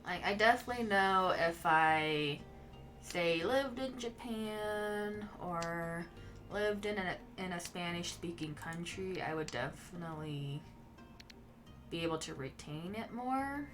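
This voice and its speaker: A woman whose first language is Mandarin, chatting casually with viewers while she plays a game as a streamer, in a relaxed and musing way. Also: lowish voice, slow pace. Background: game music.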